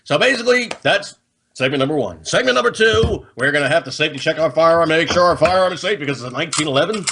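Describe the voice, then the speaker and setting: A man's voice: a little high, expressive, fast, a bit bright, a little hiss or hum. Chatty American man hosting a hobby show. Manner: folksy, chatty, slangy, with frequent "okay?" checks. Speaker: host of a hobby gun and gadget show. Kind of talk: casual unscripted explainer to viewers.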